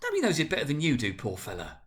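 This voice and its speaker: A man voicing an indignant, sharp-tongued wife and mother in a storybook reading, huffy and sarcastic. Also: fairly high pitch, wildly swooping pitch, rapid-fire, pitched above the performer's own voice. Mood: scornful.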